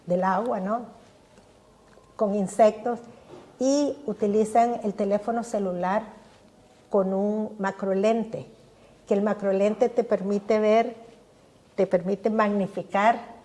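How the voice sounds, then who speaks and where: An older woman answering interview questions with eager enthusiasm. Her voice has a warm, mellow tone, and she trips over repeated words as she searches for what to say next. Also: mid-range voice. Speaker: guest scientist. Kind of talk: podcast interview.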